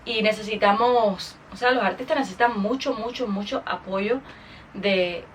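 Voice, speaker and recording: A woman's voice: mid-range voice, normal speed, a little hiss or hum. Cuban woman chatting casually, slightly distant mic. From Cuba. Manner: chatty, informal, with English interjections. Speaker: podcast host and singer. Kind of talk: casual monologue to followers.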